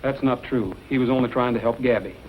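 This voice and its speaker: An actor plays a steady cowboy hero, reasoning earnestly at a quick clip. The old radio western recording is muffled, with faint noise. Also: male voice, medium pitch, lightly acted. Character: steady, level-headed cowboy hero defending his friends.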